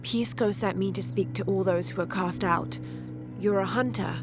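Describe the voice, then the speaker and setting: A woman playing an earnest young adventurer in a video game, speaking gently and politely as she questions and listens. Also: mid-range voice, steady tone, normal speed, lightly acted. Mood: curious, polite. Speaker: game protagonist. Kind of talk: video game cutscene dialogue. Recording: long reverb, music behind.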